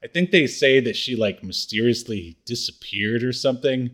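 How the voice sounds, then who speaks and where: A man's voice: medium pitch, moderate pace, a bit bright. Male podcast co-host, mid-range voice, easygoing and hesitant, casual conversational recap. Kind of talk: casual chat, recapping a TV show.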